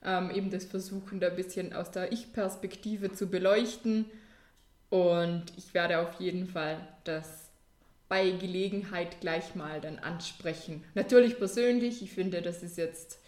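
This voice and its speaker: Young woman, podcast co-host, measured and reflective, echoey room. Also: lowish voice, fairly even intonation, darkish tone. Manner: measured, thoughtful with fillers. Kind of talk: casual chat. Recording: roomy echo.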